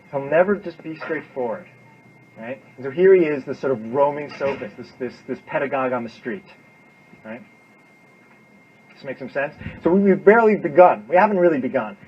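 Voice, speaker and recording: A man's voice: medium pitch, slightly rough. A man giving an informal, emphatic classroom lecture, repeating himself and often checking in with "right?". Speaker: university lecturer. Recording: dull lo-fi classroom recording with noise.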